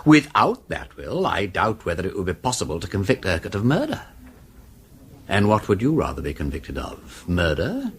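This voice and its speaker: A witty, aristocratic English gentleman sleuth in a radio drama. His upper-class speech is clipped and exclamatory, leaping animatedly up and down, over faint background noise. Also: low voice, huge pitch swings, moderate pace. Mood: excited deduction. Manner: breezy, exclamatory, clipped old-fashioned phrasing. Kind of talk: British radio drama acting.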